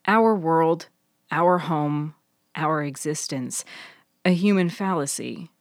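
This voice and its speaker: A woman voicing an elegant, wise and unruffled woman in story dialogue, philosophical and gently lecturing. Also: sits low, slow, no echo, clean studio sound. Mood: calm, philosophical. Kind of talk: dramatised audiobook dialogue.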